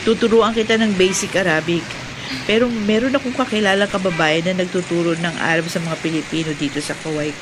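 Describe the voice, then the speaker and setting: Actress as chatty Filipina friend, noisy backdrop. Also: female voice, on the low side, brisk, natural voice. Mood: helpful, frank. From Philippines. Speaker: radio drama actress. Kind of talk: classic radio drama acting.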